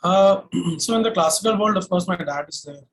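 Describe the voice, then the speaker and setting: A young man with an Indian accent speaks in a high-pitched voice. He talks as a singer being interviewed, casually telling stories in a conversational flow. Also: brisk, low-quality recording. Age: young adult. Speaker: singer-songwriter guest.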